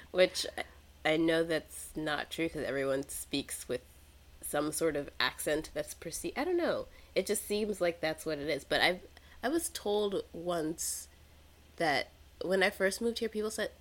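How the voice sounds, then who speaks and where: A woman in casual conversation who talks chattily, laughs often and acts out her own reactions. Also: low voice. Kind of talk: casual chat.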